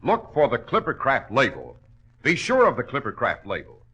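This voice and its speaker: A man's voice: mid-range voice, wildly swooping pitch, normal speed, pushed and bright, breathy edge. A man delivering an emphatic, salesmanlike 1940s radio advertisement as announcer. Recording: dull old-time radio recording.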